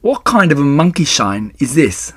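Man voicing a grumbling, gravelly, sarcastic storybook rat. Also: medium pitch, very animated, normal speed, fairly bright tone, dry recording, studio quality, voice pushed up, exaggerated voice. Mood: disgusted. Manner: growling, mocking, complaining. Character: grumpy, sarcastic, self-pitying male rat. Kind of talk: children's storybook narration.

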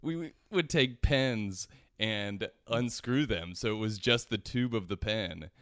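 A man's voice: lowish voice, a little slow, fairly bright tone, dry recording, studio quality. A man hosting a casual comedy chat, informal and jokey, tossing in wry asides. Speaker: podcast host.

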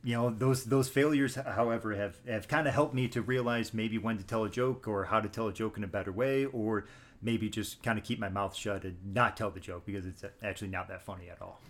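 A man with a somewhat low voice talks about his teaching in an interview. He is measured and reflective, and he often stops to restart a thought. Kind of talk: interview conversation.

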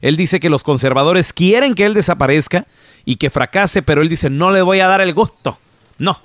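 A male radio host with a fairly high voice talks casually, handling guests and news stories. The sound is close and dry. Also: moderate pace, fairly bright tone, no echo. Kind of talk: casual hosting and news retelling.

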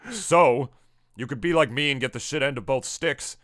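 Podcast co-host: deep-voiced man, bright and forceful tone, profane, ranty, crude comic banter. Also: normal speed. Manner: profane, ranting, crude jokes. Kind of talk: casual comedic banter.

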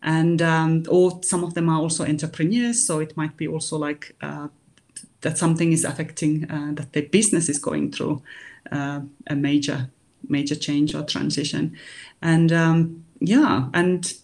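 A woman, a life coach answering interview questions, thinking aloud with pauses. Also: low voice, steady tone, gravelly, darkish tone. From Finland.

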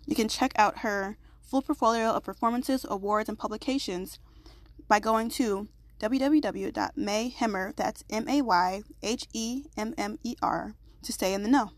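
A bubbly woman host speaks a touch high, chatty and quick to laugh over a remote call. Her nose sounds a little congested, and the audio is dry and close with no room around it. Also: no room sound. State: slightly congested. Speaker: podcast host. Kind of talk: reading an introduction, then casual chat.

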